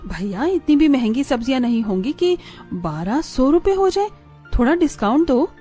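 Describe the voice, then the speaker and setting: A woman's voice: sits high, very animated, moderate pace, mellow, faint music behind. A woman playing a thrifty, firm housewife and dutiful daughter-in-law in a cartoon family drama. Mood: firm haggling.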